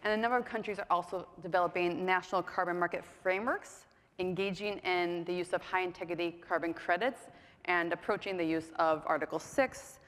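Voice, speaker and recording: A woman's voice: on the low side, brisk, fairly bright tone. A woman moderating a conference panel, introducing speakers in a chatty way with frequent ums and self-deprecating asides. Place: conference hall. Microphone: mic on stage. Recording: echoey hall.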